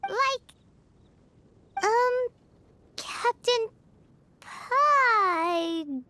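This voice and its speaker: A tiny, excitable female sprite who chatters and teases without pause. Her voice sits extremely high and bounces all over in a sing-song way. Game character dialogue. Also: dry recording, full character voice. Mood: teasing. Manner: excitable, teasing, quick to chatter.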